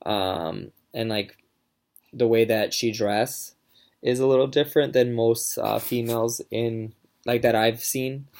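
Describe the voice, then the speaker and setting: A man's voice: medium pitch, steady tone, unhurried, creaky, a little mellow. A young man giving a casual class presentation, talking in a hesitant way with many fillers and restarts. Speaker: student presenter.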